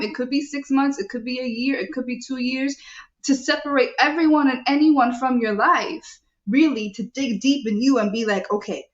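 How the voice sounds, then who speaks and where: Young woman hosting a live show, higher voice, preachy and animated, roomy muffled mic. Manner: animated, preachy, asks the audience questions. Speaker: show host. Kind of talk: live show talk reading viewer comments. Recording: echoey, dull lo-fi sound.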